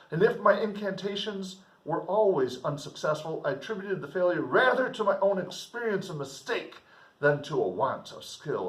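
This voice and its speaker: A man reading classic literature aloud like a teacher, with informal explanatory asides and an expressive manner. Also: on the high side. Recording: roomy echo, distant microphone.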